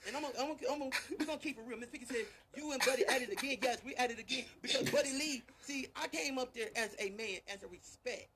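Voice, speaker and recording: A man with a very high voice argues heatedly in a live-stream chat, speaking quickly and repeating his points for emphasis. A faint background noise sits under the voice. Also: pushed and bright. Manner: repetitive, emphatic, confrontational. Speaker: panelist in an online live-stream argument. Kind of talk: heated casual argument.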